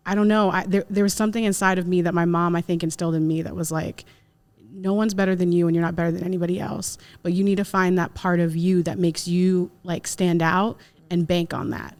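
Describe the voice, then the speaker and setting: A woman chatting casually on a panel, telling personal stories in an animated, conversational way. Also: lowish voice, a little fast. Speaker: actress, panel guest.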